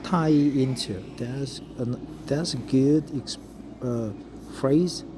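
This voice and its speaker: A middle-aged Korean man with a Korean accent reads English aloud for practice, his voice sitting at an ordinary middle pitch. He stops often on fillers and repeats phrases he likes. A steady hum of noise runs under the recording. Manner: hesitant, frequent uh fillers, pauses to savor phrases. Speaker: English learner reading aloud. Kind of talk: self-study reading practice with commentary. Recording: noticeable background noise.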